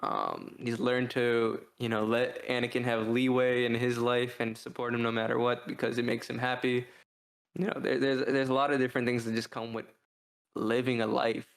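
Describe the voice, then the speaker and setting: A man's voice: medium pitch, moderate pace, gravelly. A man co-hosting a review podcast in a casual, discursive way, often posing questions as he thinks aloud. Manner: discursive, poses rhetorical questions. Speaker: podcast co-host reviewing books.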